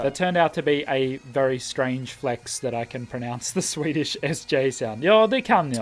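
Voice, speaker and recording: A man with a fairly high voice reads lines from a book and stops often to comment on them. He is animated and expressive, swinging quickly between plain reading and surprised reactions, with faint music playing under his voice. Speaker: language vlogger.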